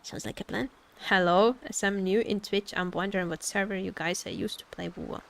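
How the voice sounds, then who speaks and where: A woman's voice: mid-range voice, fairly even intonation, a little fast, vocal fry. A woman game streamer chatting casually with viewers in a playful, teasing way as she reads and answers their comments. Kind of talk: casual livestream chat with gaming commentary. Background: background music.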